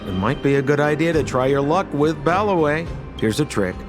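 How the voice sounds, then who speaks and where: Man playing a lively, theatrical young hustler. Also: fairly high pitch, brisk, over music, lightly acted. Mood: sly, confiding. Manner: showy, sly salesman patter. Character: smooth-talking, theatrical young male hustler. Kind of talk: English video game voice acting.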